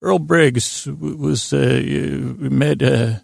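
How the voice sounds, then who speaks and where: A man hosting a bedtime podcast, rambling in a halting, meandering way with a gravelly, creaky voice. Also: medium pitch, dry recording, studio quality. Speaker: podcast host. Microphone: close podcast microphone.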